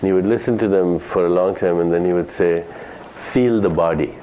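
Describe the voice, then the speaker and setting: A man with a low, mellow voice talks informally as a spiritual teacher. He is deliberate and halting, pausing between short phrases. Faint room noise sits behind him. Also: low-quality recording. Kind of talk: informal talk, summarizing points to an audience.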